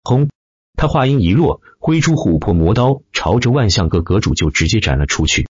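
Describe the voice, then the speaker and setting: A man reads dramatic fiction with theatrical emphasis. His low voice has a husky grain and swings widely in pitch as he drives each sentence. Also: sing-song, normal speed, dry recording, highs cut off. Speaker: audiobook narrator. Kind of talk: dramatic scripted web-novel narration.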